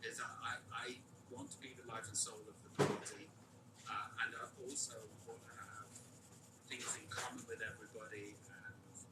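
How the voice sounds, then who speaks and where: A man in candid conversation who talks haltingly, repeats his words and often drops to near whispers. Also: medium pitch, flat delivery. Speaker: podcast guest. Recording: echoey distant audio with noise.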